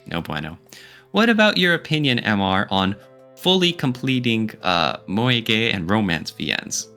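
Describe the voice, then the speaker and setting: Lively deep-voiced male podcast co-host. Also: unhurried, soft background music. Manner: informal, animated, reacts with exclamations. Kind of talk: casual opinion chat.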